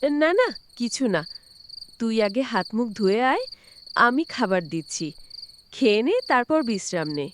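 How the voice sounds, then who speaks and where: Woman as a high-voiced caring ghost aunt. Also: very animated, unhurried, long pauses, slight background noise. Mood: gentle, fussing. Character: caring, scolding middle-aged aunt who is a ghost. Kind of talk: animated horror story acting.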